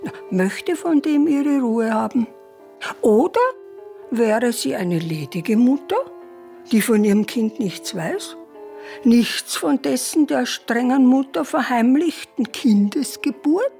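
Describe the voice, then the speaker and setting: An actress playing a confused elderly woman in a care home, musing slowly in a radio play. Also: a little high, expressive, vocal fry, warm tone, faint music behind, full character voice. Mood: bewildered. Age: older. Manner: slow, musing, drifting into whispers.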